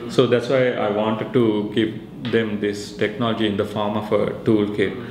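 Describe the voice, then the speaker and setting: A man in his thirties with a Sri Lankan accent, explaining his research project in an informal interview, pausing often mid-sentence. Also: on the low side, level tone, darkish tone. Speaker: researcher and maker presenting a project. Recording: echoey room with faint background noise.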